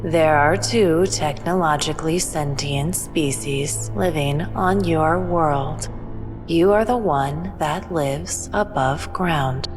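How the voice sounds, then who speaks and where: A woman playing a serene, all-knowing alien guest in an audio drama, speaking in a measured, detached manner. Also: deep voice. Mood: serene, certain. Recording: long reverb, clear background music.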